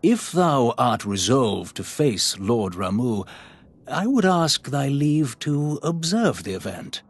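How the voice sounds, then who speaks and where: An actor playing an earnest, courteous scholar in a fantasy video game, speaking formally in measured, archaic phrases. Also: male voice, mid-range voice, big pitch swings, husky, heavily acted. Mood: courteous request. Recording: faint ambient noise.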